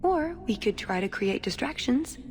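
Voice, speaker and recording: A woman's voice: on the high side, very animated, normal speed, music in the background. A woman playing a wry, determined young female elf in a video game, speaking in a dry, earnest way. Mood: serious, guarded. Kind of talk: video game dialogue acting.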